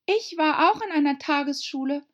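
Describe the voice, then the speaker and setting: A prim young storybook girl, voiced by a woman, speaks in a raised, high pitch. She is polite but pert and easily indignant. The sound is slightly dull. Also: lively intonation, moderate pace.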